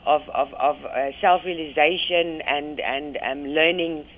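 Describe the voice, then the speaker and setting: A woman's voice: deep voice, fairly even intonation, measured pace, noisy background. A South African woman, a radio guest talking by phone, hesitant with many ums and restarts. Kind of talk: phone interview. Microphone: phone line. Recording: tinny phone line.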